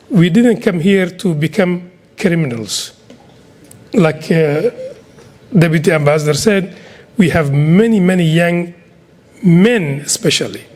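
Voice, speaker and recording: Somali guy giving a speech, fairly high voice, earnest, repeats himself a lot. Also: a little mellow. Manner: earnest and exhorting, with repetitions. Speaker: community speaker. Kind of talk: speech to an audience.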